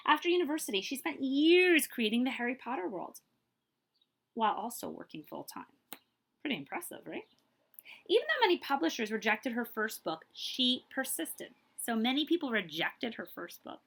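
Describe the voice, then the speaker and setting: Woman reading aloud with very lively intonation. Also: fairly high pitch, normal speed, vocal fry. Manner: expressive, emphatic storytelling with brief asides. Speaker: librarian reading a book aloud. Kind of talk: read-aloud of a children's picture book.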